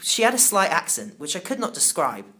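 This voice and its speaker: A man narrating a children's novel in the first person, expressive and theatrical. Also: sits high, a little fast. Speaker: audiobook narrator.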